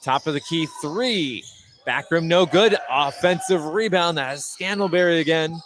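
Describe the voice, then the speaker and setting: A man with a slightly high voice delivers live basketball play-by-play for radio. Clear gymnasium noise and bouncing balls sit behind him as he tracks each pass and shot. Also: normal speed. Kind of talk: live sports radio commentary. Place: indoor high school gym during a game. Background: game noise, bouncing basketballs.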